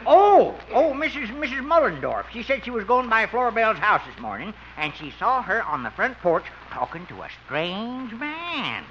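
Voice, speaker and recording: A dotty old doctor in a radio comedy, who rambles off the point in a high, bright, bouncy voice. The old recording sounds dull, with faint hiss. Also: male voice, wildly swooping pitch, moderate pace, hardly any pauses, full character voice. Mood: rambling. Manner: rambling, absent-minded chatter. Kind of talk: 1950s radio comedy acting.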